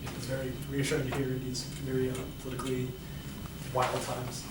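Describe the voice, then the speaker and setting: Male interviewer, halting questions, lots of ums, distant mic and background noise. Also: mid-range voice, completely flat delivery. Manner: hesitant, many fillers. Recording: echoey room, mic far off, noisy.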